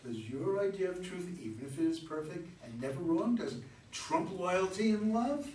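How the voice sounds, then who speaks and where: Heard from a distance in a noisy, echoing room, a man plays an exasperated husband and father in a stage reading. His high-pitched voice swings widely as he stubbornly defends himself. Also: lively intonation, normal speed, darkish tone. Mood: pleading, sardonic. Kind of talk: staged play reading before an audience.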